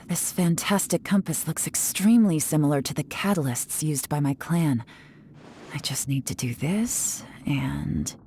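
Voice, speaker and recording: Low, mellow, shrewd investigator. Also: female voice, slight background noise. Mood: focused. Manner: composed, measured. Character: cool, composed adult woman investigator, shrewd. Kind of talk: game voice acting. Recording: music behind the voice.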